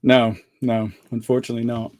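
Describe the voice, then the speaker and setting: A man's voice: medium pitch, level tone, fairly quick, a little mellow, no echo. A man in his forties who grew up in the Middle East, answering questions with easygoing dry humor. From Middle East, Scottish parents. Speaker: guest. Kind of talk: casual interview chat.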